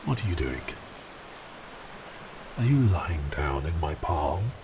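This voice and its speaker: A colossal cursed sea beast as acted by a man speaks in a deep, low register. He is grandly melancholy and grumbles with theatrical exasperation, an ASMR roleplay figure set against distinct background ambience. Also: noisy background. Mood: amused, curious.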